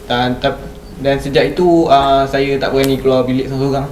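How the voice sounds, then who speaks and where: A man's voice: medium pitch, level tone, fairly quick, a little mellow, slight background noise. Young Malaysian man in a roomy space. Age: young adult. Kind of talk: casual ghost-story chat. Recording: roomy, distant mic, faint music.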